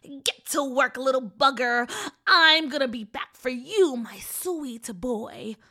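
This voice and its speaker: A woman's voice: high-pitched, measured pace, pushed and bright. A woman voicing a cruel, greedy old woman who bosses children around and gloats over fattening them up. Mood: bossy. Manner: bossy, sneering, gloating.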